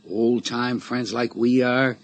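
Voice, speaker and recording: An actor playing a sly, menacing middle-aged blackmailer in a radio drama, taunting with mock-friendly, folksy familiarity. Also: male voice, medium pitch, flat delivery, takes it slowly, dry recording. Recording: radio drama recording with background noise.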